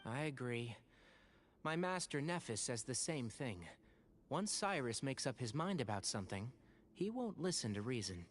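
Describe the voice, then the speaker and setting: An actor playing a gentle, thoughtful young scholar in a video game, explaining things in an earnest, articulate and sensible way. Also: male voice, medium pitch, very animated, normal speed, slight background noise, close to an everyday voice.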